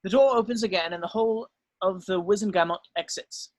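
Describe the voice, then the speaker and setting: A man's voice: high voice, fairly quick, no echo. A man reading stage directions as narrator in a screenplay table read.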